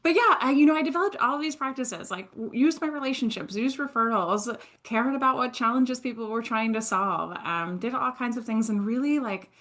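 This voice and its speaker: A woman's voice: on the high side, brisk, few pauses. A woman in her thirties from Switzerland, a guest on a podcast, telling her career story casually with self-deprecating humor. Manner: animated, self-deprecating storytelling with frequent laughs. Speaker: guest, sales entrepreneur.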